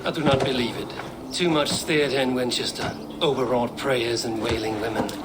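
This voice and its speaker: A man's voice: medium pitch, very noisy, natural voice. A man playing a blunt Norse warrior. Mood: curt. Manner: blunt, measured, probing. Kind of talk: video game cutscene.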